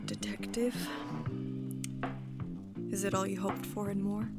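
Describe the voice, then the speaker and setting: A woman's voice: mid-range voice, level tone, a little slow, vocal fry, over loud music. A woman playing a wry, soulful character comforting an old friend in an audio drama. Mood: wry, wistful.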